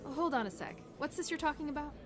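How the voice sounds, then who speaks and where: A woman's voice: high-pitched, fast talker, natural voice. A woman playing a brash young female soldier in a radio drama, blunt, sassy and impatient. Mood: sassy, skeptical. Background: loud music.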